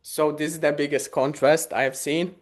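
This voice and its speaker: A man's voice: fairly high pitch, level tone, moderate pace. A young man from Poland, a Polish native speaker, answering interview questions hesitantly with many fillers and easy laughs. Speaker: guest.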